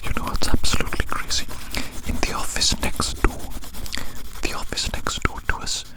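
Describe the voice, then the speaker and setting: A woman whispering a rambling ASMR roleplay very close to the microphone, softly repeating her phrases in a breathy, unvoiced voice. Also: normal speed. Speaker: ASMR performer.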